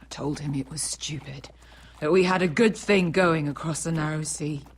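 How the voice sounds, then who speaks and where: A woman's voice: low voice, moderate pace, slight background noise, close to an everyday voice. An actress playing a tough, grieving female sellsword who talks bluntly and swears freely, in a story game. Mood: grieving, bitter.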